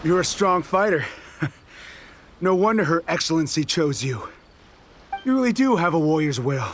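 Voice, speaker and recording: A man's voice: fairly high pitch, expressive, moderate pace, a little hiss or hum, close to an everyday voice. A man voicing a proud, distrustful, hot-headed resistance soldier in an anime game, with an emphatic manner. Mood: challenging. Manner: boastful, challenging, emphatic.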